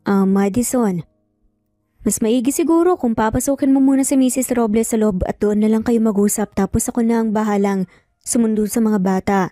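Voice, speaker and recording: A woman voicing a caring, practical older sister who talks gently and helpfully in a dramatic story. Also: a little high, fairly quick, no echo, clean studio sound, soft background music, close to an everyday voice. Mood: concerned, helpful.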